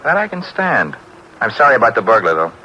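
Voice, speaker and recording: An actor playing a wisecracking young assistant detective in a 1940s radio drama, talking in a breezy, wry way. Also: male voice, fairly low pitch, big pitch swings, fairly quick, bright, forceful tone, slight background noise, heavily acted. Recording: dull lo-fi old radio recording.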